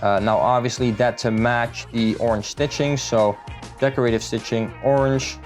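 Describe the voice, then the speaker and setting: A man's voice: medium pitch, fairly even intonation, normal speed, faint music behind. A man from the Netherlands, talking through a car build in a casual, matter-of-fact vlog style as a salesman would.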